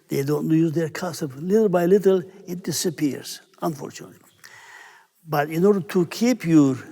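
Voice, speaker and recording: A man in his eighties from Syria, a priest answering interview questions in English, unhurried and searching for words. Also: on the high side, mellow. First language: Armenian. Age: 80s. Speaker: priest, church abbot. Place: large stone church. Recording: long church reverb.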